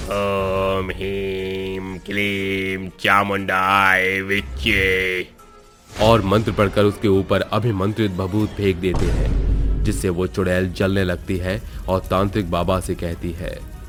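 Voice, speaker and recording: A male storyteller with a low voice narrates a horror tale. His delivery is scripted and dramatic, and faint music plays behind him. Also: fairly even intonation, normal speed.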